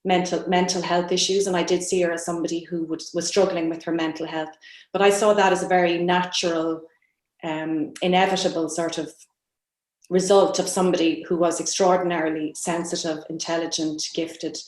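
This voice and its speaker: An Irish woman with a fairly low voice speaks as a guest author. She is reflective and thoughtful, often pausing and restarting as she searches for words. The room adds a clear echo. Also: flat delivery, darkish tone. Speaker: novelist guest on a literary panel. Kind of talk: reflective interview answer about own writing. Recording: roomy, echoing sound.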